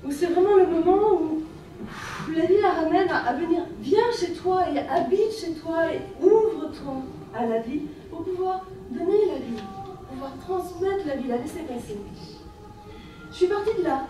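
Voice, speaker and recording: A woman from France leading a workshop, speaking in a gentle, lyrical way with many repeated phrases, in a French accent. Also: very high voice, expressive, moderate pace, slight background noise. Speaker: workshop leader. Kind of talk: guided women's workshop talk. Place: workshop room at a festival. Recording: very echoey room, from far off, music behind.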